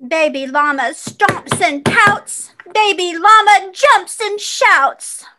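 A woman reading a children's picture book aloud with expressive, dramatic emphasis for young listeners. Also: very high-pitched, slow, background noise. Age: adult.

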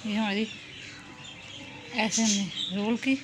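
A woman, calmly explaining a home cooking recipe step by step while she works. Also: medium pitch, slow pace. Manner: calm, instructive, talks while working with hands. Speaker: home cooking channel host. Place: home kitchen. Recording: loud background noise, birds chirping.

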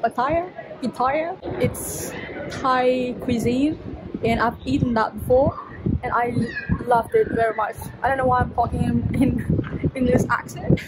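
A woman's voice: fairly high pitch, fairly even intonation, a little slow. Chatty young woman vlogging outdoors. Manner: chatty, self-mocking, laughs at herself. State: stuffy runny nose from pollen allergy. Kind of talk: casual vlog chat to camera. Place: outdoors in a sunny city park and streets. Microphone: phone held at arm's length. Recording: loud outdoor street noise.

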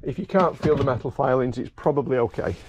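A man's voice: mid-range voice, quick pace, muffled recording. A middle-aged man, a boat mechanic, talking through an outboard service in a chatty way with dry humor, recorded outdoors on a head-worn camera. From Wales. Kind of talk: hands-on how-to vlog narration. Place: outdoors beside a boat trailer by grassy dunes. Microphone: head-mounted camera mic. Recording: noisy outdoor camera audio.

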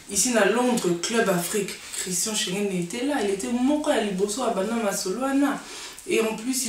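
A woman's voice: medium pitch, a little hiss or hum. A young woman hosting an interview on a sofa in a living-room set, introducing her guest enthusiastically and prompting him with questions. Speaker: talk show host. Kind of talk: hosting an interview in French mixed with Lingala. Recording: echoey room, mic at a distance.

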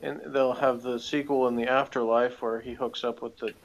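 A man co-hosting a casual review, speaking in a halting, colloquial way. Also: medium pitch, level tone, creaky, very noisy. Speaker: review co-host. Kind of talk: casual chat.

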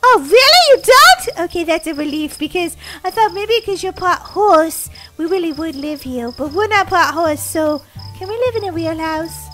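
A woman voicing an excitable, cheeky, sweet little orphan girl in an animated, exclamatory children's story. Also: very high-pitched, normal speed, over music. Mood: bewildered, excited. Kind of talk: children's pretend-play story acting.

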